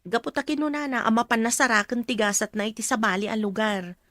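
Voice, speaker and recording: A woman's voice: a little high, fairly even intonation, fast, a bit bright, no echo, studio quality, natural voice. An actress playing a frank woman soberly giving news in an Ilocano radio drama.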